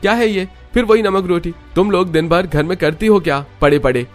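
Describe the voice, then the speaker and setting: A man voicing a furious, scolding village husband in a cartoon, shouting with contempt. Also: high-pitched, a little fast, faint music behind, voice pushed up, natural voice. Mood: angry, contemptuous.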